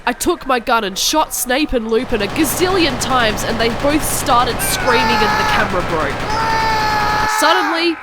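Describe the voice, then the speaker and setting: A woman's voice: on the high side, animated, normal speed, heavy background noise. A woman narrating a cartoon story in the first person as a melodramatic, angsty goth teenage girl.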